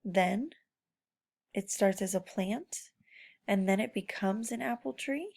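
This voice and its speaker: A woman with a gravelly, creaky edge to her mid-pitched voice teaches young children informally. She speaks as a schoolteacher, guiding them with questions and simple instructions. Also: slow pace, darkish tone. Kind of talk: informal read-aloud lesson for children.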